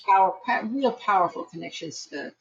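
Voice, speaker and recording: A woman giving live psychic astrology readings online, chatty and quick to laugh, with frequent restarts. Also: medium pitch, vocal fry, husky, muffled recording.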